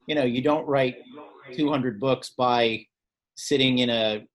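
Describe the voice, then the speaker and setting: A man's voice: mid-range voice, unhurried, low-quality recording. A man telling anecdotes casually as a guest reader at a literary event, speaking over a video call. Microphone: video call microphone.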